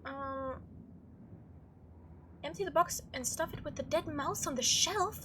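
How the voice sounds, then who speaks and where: A woman's voice: high voice, measured pace, background noise. A woman hosting a gaming playthrough, chatting and reacting aloud as she reads the game's text. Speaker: let's play host. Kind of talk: gaming commentary mixed with reading visual novel text aloud.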